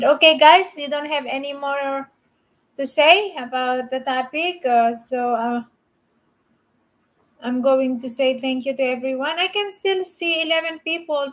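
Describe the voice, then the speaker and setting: A woman's voice: high-pitched, low-quality recording. A woman from the Philippines, hosting a casual live stream, chatting and greeting viewers by name.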